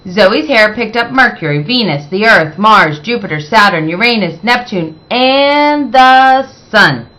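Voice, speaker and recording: Bright, unhurried woman storyteller. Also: mid-range voice, lively intonation. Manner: deliberate, dramatic emphasis. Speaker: read-aloud storyteller. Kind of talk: expressive children's picture book narration.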